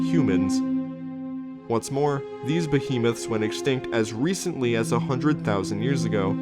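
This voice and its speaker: A man hosting and narrating a scripted informational documentary. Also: medium pitch. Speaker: host and narrator. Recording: music under the voice. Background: background music.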